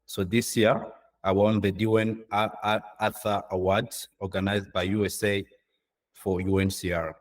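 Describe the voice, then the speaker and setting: A man with a deep voice gives an informal presentation as a guest on a video call. His delivery is earnest and halting, with non-native English phrasing. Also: flat delivery. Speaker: guest speaker, social entrepreneur. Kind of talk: informal presentation of own work.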